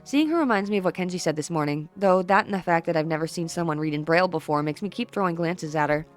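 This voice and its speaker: A woman reading a visual novel aloud as first-person narration, brisk and now and then stumbling over words. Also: on the low side, faint music behind.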